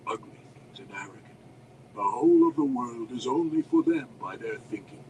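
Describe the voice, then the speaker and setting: A man voicing a gruff, suspicious deep gnome warden in an audiobook, resonant and terse. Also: expressive, moderate pace, darkish tone, muffled recording, a little hiss or hum, close to an everyday voice. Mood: scornful. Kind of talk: audiobook character voice.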